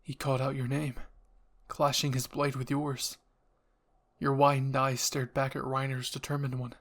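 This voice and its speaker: A man's voice: medium pitch, little pitch movement, moderate pace, fairly bright tone. A man reading scripted fan fiction as a narrator and channel host.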